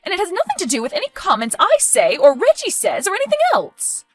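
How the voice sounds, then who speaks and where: Woman as high-pitched, overbearing melodramatic mother. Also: wildly swooping pitch, moderate pace, faint music behind. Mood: angry, accusing. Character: overbearing, guilt-tripping, melodramatic middle-aged mother. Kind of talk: dramatic dialogue acting.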